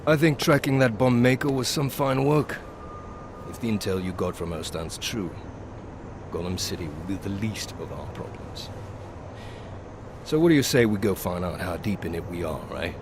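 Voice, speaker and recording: An actor playing a blunt, wry, weary pilot with a philosophical streak in a video game cutscene. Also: male voice, deep voice, normal speed, background noise, close to an everyday voice. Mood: wry, casual. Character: weary, philosophical male pilot with an old knee injury.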